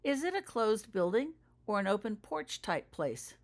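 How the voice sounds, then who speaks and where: A woman's voice: mid-range voice, big pitch swings, a little slow, voice pushed up. A woman voicing an earnest, probing schoolgirl witch in a storybook reading, with careful, hesitant questions.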